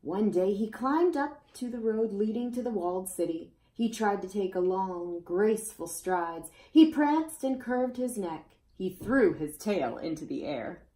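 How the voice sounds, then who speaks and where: A woman's voice: medium pitch, lively intonation, unhurried, hardly any pauses, dark tone. A woman reading a children's picture book aloud expressively, as storyteller to young listeners. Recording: roomy, echoey indoor sound.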